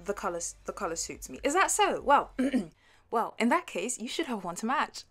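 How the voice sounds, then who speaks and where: A woman's voice: fairly high pitch, big pitch swings, moderate pace, fairly bright tone, soft background music. A young woman playing a flustered, playful artsy college girl with a crush, stammering and giggly in an intimate audio roleplay. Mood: playful, mock indignant. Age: young adult.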